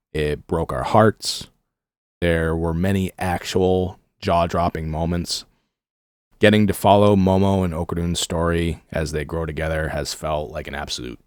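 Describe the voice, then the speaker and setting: Low, hoarse-voiced man reviewing eagerly. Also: no echo, studio quality. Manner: enthusiastic, rating and reviewing at length. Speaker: podcast co-host. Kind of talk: casual chat.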